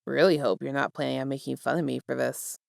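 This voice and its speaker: A woman voicing a gentle young man in a fan fiction reading, speaking softly and carefully with light teasing reassurance. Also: a bass voice, steady tone, fast talker, creaky, no room sound, clean studio sound, pitched below the performer's own voice, full character voice. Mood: lightly teasing. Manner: soft, careful, quiet speech.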